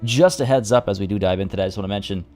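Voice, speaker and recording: Slightly hoarse man streaming a game. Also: fairly low pitch, racing, darkish tone, soft background music. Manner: chatty, greets chat viewers by name. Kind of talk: casual live-stream game commentary. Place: home room by a window.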